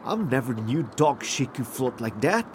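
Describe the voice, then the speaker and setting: Man playing a simple sidekick, lively pitch. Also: moderate pace, natural voice. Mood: curious. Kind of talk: audio fiction comedy acting. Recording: clear water and boat noise behind.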